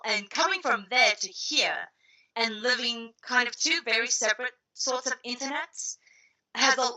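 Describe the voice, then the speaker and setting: A young adult woman from South Africa, a media academic, chatting as a guest and telling stories in a lively way. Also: fairly high pitch, poor audio. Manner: lively storytelling, laughs easily. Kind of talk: casual interview chat.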